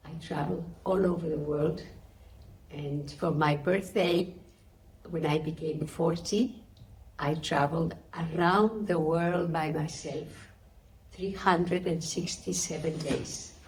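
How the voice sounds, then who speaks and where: A middle-aged or older woman from Spain with a Spanish accent, answering interview questions and telling personal stories in an expressive, emphatic way. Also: deep voice, slow pace, rough recording. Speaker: interviewee, spiritual teacher.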